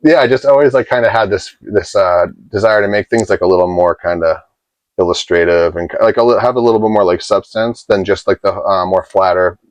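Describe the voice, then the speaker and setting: Low-voiced man rambling casually. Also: a little fast, dry recording. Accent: faint Boston. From Boston. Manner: rambling, filler-heavy, lots of "like". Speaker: guest tattoo artist. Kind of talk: casual storytelling interview.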